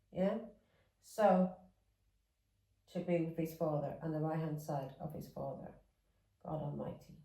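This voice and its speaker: Woman, English accent with Irish touches, lowish voice, tarot reading, rambling and repetitive, echoey room, set back from the mic. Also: warm tone, recorded from a distance. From England. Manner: rambling, repeats words, chatty asides. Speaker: tarot reader. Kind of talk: casual tarot reading monologue.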